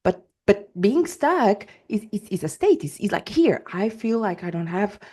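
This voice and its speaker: A woman's voice: mid-range voice, big pitch swings, normal speed, warm tone. A woman from Portugal with a Portuguese accent, a coach hosting her own podcast, talking emphatically with many fillers and restarts. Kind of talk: solo podcast talk.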